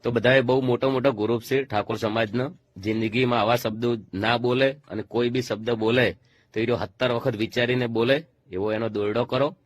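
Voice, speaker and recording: A man's voice: medium pitch, steady tone, moderate pace, no room sound. A man with a Gujarati accent presenting an informal commentary to viewers in a disapproving manner. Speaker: video presenter. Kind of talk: informal commentary on a call recording.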